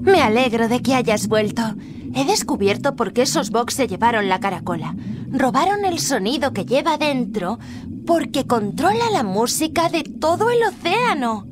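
A woman playing a sweet, hopeful teenage mermaid princess in a video game, earnest and expressive. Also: a little high, normal speed, noisy background. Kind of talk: video game character acting.

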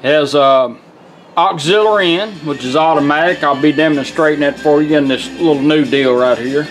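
A man's voice: fairly high pitch, moderate pace, low-quality recording. A man giving an informal, plain-spoken product demonstration, folksy in manner. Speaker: presenter, car radio restorer. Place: indoor workshop bench.

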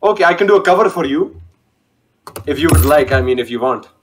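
A young man on a video call through a gaming headset, joking and boasting in a loud, cocky way. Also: fairly high pitch, expressive, a little fast, a little hiss or hum. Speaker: online date contestant. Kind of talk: casual video call banter.

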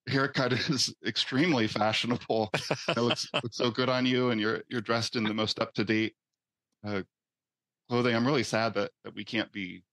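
A man's voice: mid-range voice, steady tone, normal speed, fairly bright tone, dry recording. A man, a guest therapist, speaking earnestly in a practice role-play with frequent repeated words.

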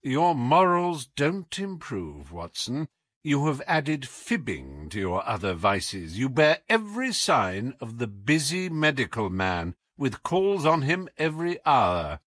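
Man acting a brilliant, imperious British detective, very animated. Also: medium pitch, no echo. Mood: animated, wry. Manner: theatrical, brisk, commanding, wry. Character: brilliant, whimsical, imperious male English detective. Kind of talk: audiobook character reading.

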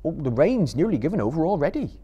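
A man's voice: on the high side, sing-song, fast talker, warm tone, slightly rough, highs cut off, pitched above the performer's own voice. A man voicing a loyal, plain-spoken, cautious hobbit gardener with rustic, chatty phrasing in a live storybook reading. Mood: worried.

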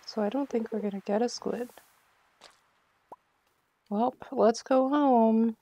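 Casual woman streamer with creaky, mellow voice. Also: on the high side, steady tone, slow, muffled recording. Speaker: game streamer. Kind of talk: casual live gameplay commentary.

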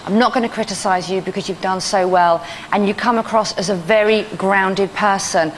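A woman's voice: mid-range voice, slight background noise. Encouraging woman judge in a big hall. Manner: warm, encouraging, fluent. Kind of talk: TV judging feedback. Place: TV studio with audience. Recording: hall reverb with faint crowd.

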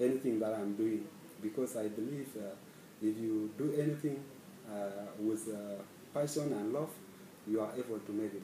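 A young man from South Sudan introduces himself in an earnest, halting way, pausing often between thoughts. His voice is mellow and soft-edged. He sits a little back from the mic in an echoey small office. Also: lowish voice, slight background noise. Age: young adult. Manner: earnest, hesitant with many pauses and fillers. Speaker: scholarship student. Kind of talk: self-introduction to camera. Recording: echoey room, slightly far from mic.

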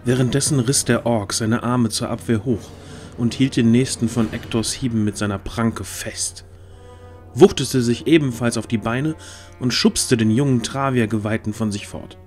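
A man's voice: mid-range voice, steady tone, normal speed, darkish tone, soft background music. A man reading scripted, dramatic fantasy narration as the narrator of an audio drama.

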